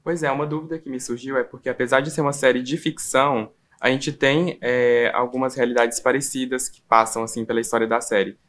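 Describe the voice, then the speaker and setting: Woman interviewer, extremely deep voice, friendly, upbeat questions. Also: steady tone, fairly quick, hardly any pauses. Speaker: entertainment interviewer.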